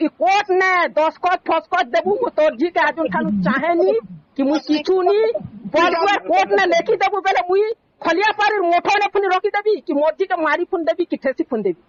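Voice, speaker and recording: A woman's voice: very high voice, brisk. A woman with a Sambalpuri accent argues on a recorded phone call, scolding and accusing insistently and repeating her phrases. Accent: Sambalpuri (western Odisha). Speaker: caller in a family dispute. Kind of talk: heated argument on a phone call.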